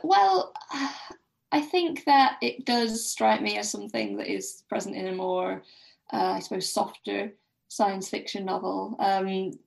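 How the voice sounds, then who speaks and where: A woman's voice: medium pitch, big pitch swings, unhurried, gravelly. A woman guest on a podcast discussing a book casually, thinking aloud in a hesitant way.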